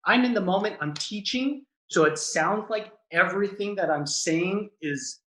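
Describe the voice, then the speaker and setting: Male improv teacher, higher pitch, roomy echo, relaxed and reflective. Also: measured pace. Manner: relaxed, thoughtful, stammering restarts. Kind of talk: casual interview chat.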